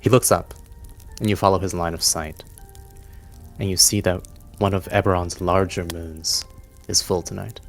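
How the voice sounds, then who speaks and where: A man narrating a tabletop roleplaying game as game master, in a halting, pausing way. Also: sits low, steady tone. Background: soft background music.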